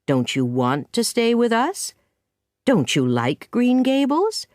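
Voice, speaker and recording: A woman voicing a stern, practical older female guardian in an audiobook story, with a firm, measured delivery. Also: lowish voice, big pitch swings, a little mellow, no room sound, studio quality.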